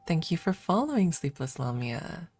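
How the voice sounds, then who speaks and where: A woman's voice: fairly low pitch, big pitch swings, normal speed, darkish tone, faint music behind. A woman streamer chatting casually and informally in an ASMR livestream, thinking aloud close to the microphone. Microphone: close microphone adjusted for ASMR.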